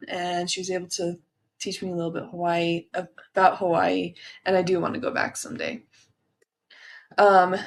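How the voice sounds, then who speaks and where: Giggly young woman with a gravelly voice. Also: mid-range voice, slight background noise. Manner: chatty, giggly, with fillers and small laughs. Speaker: student presenter. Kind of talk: personal slideshow presentation.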